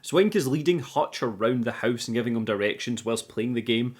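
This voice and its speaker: A man's voice: mid-range voice, animated, brisk, a little hiss or hum. Cheeky British man recapping a film. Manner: cheeky, sarcastic, sweary. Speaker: film reviewer, channel host. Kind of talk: scripted recap narration with casual sweary commentary.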